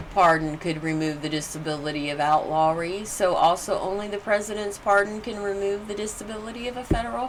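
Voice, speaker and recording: A woman leading a class, reading study material aloud phrase by phrase in a deliberate way with brief informal asides. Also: mid-range voice, a little hiss or hum, poor audio. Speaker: class leader reading numbered study questions and answers.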